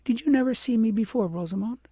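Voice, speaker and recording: Here is an adult reader playing a sweet little girl from a fairy tale. The voice is lifted high above the narration, gentle and kindly, with a slightly husky edge. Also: fast talker, dry recording, studio quality. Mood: questioning.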